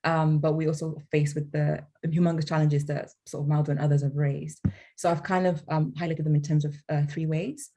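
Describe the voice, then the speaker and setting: A woman's voice: sits low, flat delivery, a little fast, mellow. A young woman from Tanzania speaking on a panel, hesitant with many ums. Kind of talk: casual discussion.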